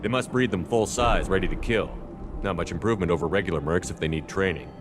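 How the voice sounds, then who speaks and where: An actor playing a steely military commander in a video game, measured and deadpan, questioning with calm menace. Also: male voice, deep voice, noisy background, close to an everyday voice. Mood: calm, probing.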